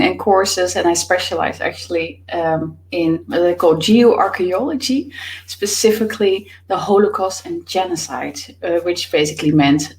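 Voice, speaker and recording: A woman with a Dutch accent and a somewhat low voice talks through her answers in a casual interview. She is in her thirties and speaks thoughtfully, pausing on fillers as she goes. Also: low-quality recording. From Netherlands. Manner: thoughtful, many fillers, laughs often. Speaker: author and business coach, guest.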